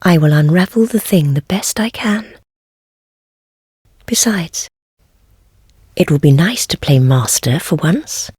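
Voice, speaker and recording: A woman playing a wry, world-weary heretical witch in a fantasy game, speaking in measured, archaic phrases with gentle teasing. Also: sits low, expressive, dry recording, studio quality, exaggerated voice. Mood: amused, teasing. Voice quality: husky, breathy. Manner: measured, archaic formal speech, softly teasing. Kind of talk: scripted fantasy game voice acting.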